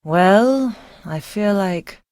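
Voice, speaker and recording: A woman's voice: mid-range voice, lively intonation, extremely slow, darkish tone, smooth, dry recording. A young woman playing a secretive, fearful young bride in a video game, speaking in a weary, brooding, dramatic way.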